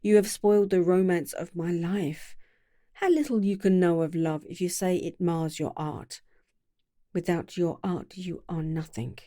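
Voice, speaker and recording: A woman reading the part of a cold, bitter young gentleman in an audiobook. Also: lowish voice, no echo, clean studio sound, lightly acted. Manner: bitter, scornful.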